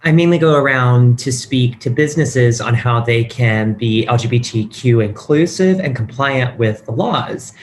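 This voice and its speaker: A man's voice: mid-range voice, moderate pace, hardly any pauses, natural voice. An actor with a male-sounding voice playing a frank, chatty transgender woman who used to be a pastor, in a script table read. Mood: candid, warm. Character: transgender woman, former Anglican pastor, warm, frank, self-assured. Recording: audible background noise.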